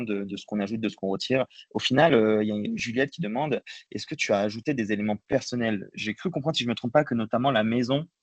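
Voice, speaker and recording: A man's voice: lowish voice, dry recording, muffled recording. A man moderating a Q&A, relaying audience questions in an informal, conversational way, circling hesitantly before each one. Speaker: moderator of a filmmaker Q&A with students.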